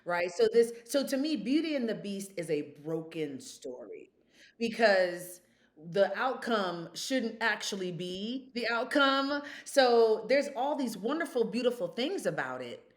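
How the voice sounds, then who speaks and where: A woman's voice: mid-range voice, sing-song, measured pace. A woman in her fifties speaking as a podcast guest, emphatic and storytelling, full of rhetorical questions. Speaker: guest, consultant. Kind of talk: podcast conversation.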